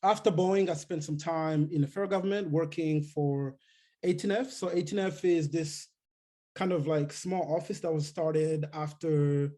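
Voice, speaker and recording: Man, from Rwanda, guest speaker, warm tone, relaxed, filler-heavy talk. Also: on the high side, moderate pace. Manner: relaxed, many fillers and restarts. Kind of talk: casual technical talk.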